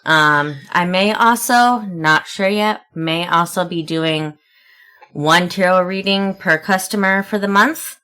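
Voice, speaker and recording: Low-voiced playful woman host. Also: takes it slowly, sharp tone, smooth. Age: 30s. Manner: playful, teasing. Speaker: podcast co-host. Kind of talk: casual chat.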